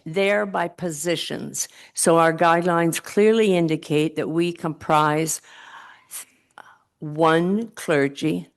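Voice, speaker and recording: A woman speaking loudly to a church council meeting in a meeting room, chatty and given to joking asides. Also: low voice. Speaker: committee presenter.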